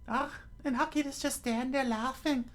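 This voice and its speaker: A man voicing an exasperated, sharp-tempered young woman in falsetto for a story reading. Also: steady tone, moderate pace. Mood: annoyed.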